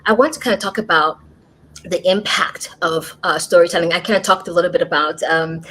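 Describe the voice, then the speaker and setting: A woman's voice: on the low side, a little fast, fairly bright tone. An American woman hosting a livestream podcast interview over a video call, chatty, with frequent fillers and restarts. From United States. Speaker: podcast host.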